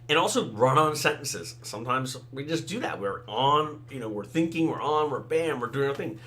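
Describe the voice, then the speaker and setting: A man's voice: a little high, a bit bright. A middle-aged man, Tamil his first language, chatting through a podcast monologue about the writing craft. His voice sits a little above the usual male range. He keeps it conversational, tossing in "right?" and "you know" as he goes. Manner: conversational, many fillers and "right?" asides. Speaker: podcast host, author and game designer. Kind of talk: casual solo talk on writing craft.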